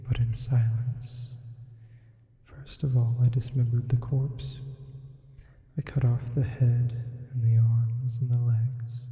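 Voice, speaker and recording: A man voicing a sinister sleep paralysis demon, reading a Gothic horror story as hushed, deliberate ASMR with whispers and added reverb. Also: medium pitch. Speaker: ASMR narrator. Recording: muffled, heavy long reverb.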